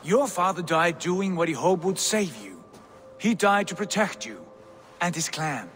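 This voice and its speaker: A man's voice: sits high, expressive, measured pace, fairly bright tone, soft background music. A man playing a boastful, commanding Norse god-king in a video game, grandiose and mercurial in manner. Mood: solemn, commanding. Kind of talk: dramatic video game acting.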